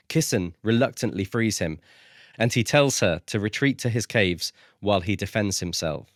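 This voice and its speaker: A man's voice: fairly low pitch, dry recording, studio quality. A man co-hosting a podcast, recapping and casually discussing a book. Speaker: podcast co-host. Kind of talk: casual chat.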